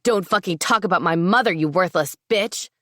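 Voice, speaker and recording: A woman voicing a cruel young man who shouts abusive threats at his ex-wife. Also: sits low, steady tone, moderate pace, smooth, no room sound, clean studio sound, pitched below the performer's own voice. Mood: contemptuous. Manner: snarling, loud, abusive threats.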